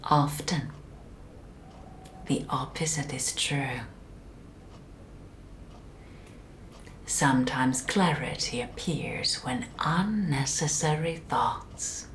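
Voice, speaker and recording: A woman playing a calm, controlling institute specialist in a soft, breathy ASMR hypnosis roleplay, often whispering, with slow, soothing, clinical delivery. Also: a bass voice, slight background noise, heavily acted. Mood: soothing, hypnotic. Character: calm, controlling female institute specialist conducting mind conditioning.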